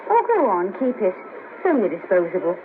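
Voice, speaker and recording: A woman's voice: medium pitch, huge pitch swings, normal speed, a little hiss or hum. An actress playing a friendly, blunt, down-on-her-luck Merseyside sex worker in a 1970s radio play. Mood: chatty, friendly. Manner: bawdy, chatty, blunt. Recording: patchy narrow-band cassette of 1970s radio broadcast.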